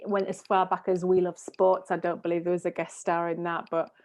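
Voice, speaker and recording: Woman in her 30s, slightly low voice, chatting films on a podcast call. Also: level tone, a little fast, a little mellow, slight background noise. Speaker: podcast reviewer. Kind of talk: casual film discussion. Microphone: earphone mic on video call.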